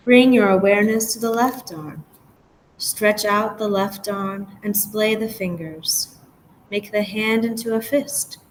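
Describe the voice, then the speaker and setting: A woman guiding a relaxation meditation as a yoga nidra teacher, giving gentle, measured instructions with pauses. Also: mid-range voice, slight background noise, poor audio.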